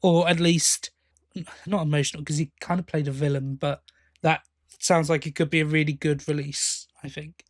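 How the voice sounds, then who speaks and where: A man's voice: a little high, level tone, normal speed, no room sound, studio quality. A man co-hosting a fan podcast, giving opinions in a casual, conversational review style. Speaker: podcast host.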